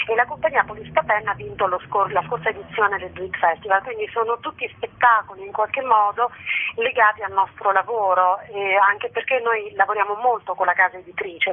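A woman festival curator explaining her programme as a guest in a radio interview. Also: mid-range voice. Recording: tinny, narrow telephone line.

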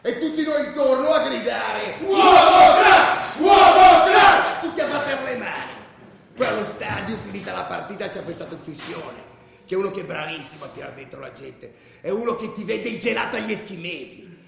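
An actor playing a rowdy, foul-mouthed football hooligan who tells his stories in a coarse, animated way in a radio drama. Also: high voice, moderate pace, creaky, crowd noise. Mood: excited storytelling. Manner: coarse, animated, shouty storytelling. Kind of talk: radio drama monologue, spoken like street anecdote. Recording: roomy, distant echoing radio recording.

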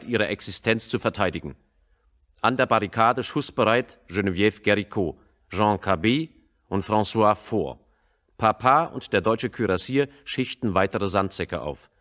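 A man narrating a radio drama from a script in a sober, measured delivery. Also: fairly low pitch, a bit bright, natural voice.

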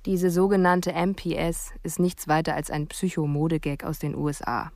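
An actress playing a sceptical police investigator, narrating a reflective, questioning inner monologue in a radio drama. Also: female voice, low voice, flat delivery, normal speed, darkish tone, no room sound. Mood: brooding.

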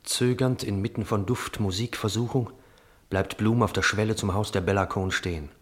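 A man's voice: fairly low pitch, fairly even intonation, normal speed, close to an everyday voice. A man narrating a literary radio drama as an observant storyteller, in precise, descriptive, clipped phrases. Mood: measured. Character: observant narrator of a brothel scene. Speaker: narrator of stage directions. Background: music.

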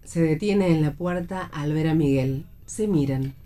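Radio theatre narrator: Argentine woman, lowish, mellow tone, reading out stage directions. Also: measured pace.

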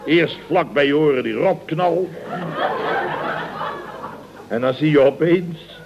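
An actor playing a pompous, blustering older boss in an old radio comedy, exclamatory and theatrical. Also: male voice, on the high side, takes it slowly, vocal fry, a crowd in the background, noisy background. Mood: boastful storytelling. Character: blustering, self-important older boss of a building firm. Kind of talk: 1960s-70s Dutch radio comedy acting. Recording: muffled old radio recording.

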